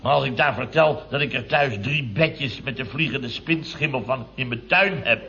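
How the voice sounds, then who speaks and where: An actor playing a grumbling middle-aged building contractor boss who spins chatty anecdotes in a vintage radio comedy. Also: male voice, medium pitch, moderate pace, creaky, sharp tone. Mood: indignant storytelling. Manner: chatty, grumbling anecdote telling with tag words. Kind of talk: 1960s-70s Dutch radio comedy acting. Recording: dull vintage radio recording.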